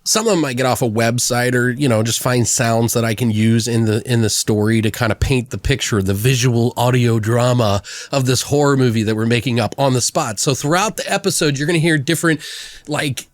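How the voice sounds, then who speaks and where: A man's voice: medium pitch, fairly quick, dry recording. A man hosting a horror podcast, chatting casually, swearing freely and laughing often. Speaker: podcast host.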